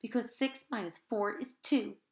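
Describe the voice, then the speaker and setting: A woman's voice: fairly high pitch, moderate pace, gravelly, pitched above the performer's own voice. A self-assured little schoolgirl, smug and keen to show what she knows , played by a woman reader. She is a storybook know-it-all. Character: smug know-it-all third-grade girl.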